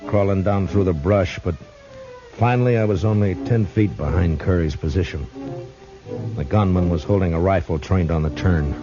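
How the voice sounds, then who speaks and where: An actor playing a stern, steady Old West lawman in a terse, commanding, unhurried radio western style. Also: deep voice, over music, natural voice. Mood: grave narrating. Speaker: lead actor and narrator. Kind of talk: 1950s radio western acting. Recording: dull old radio recording.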